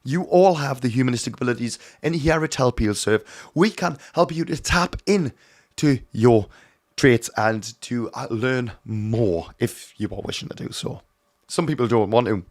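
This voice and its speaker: A hoarse man giving an earnest, emphatic teaching talk on mediumship, often calling his viewers his friends. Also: medium pitch, moderate pace. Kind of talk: direct-to-camera teaching talk.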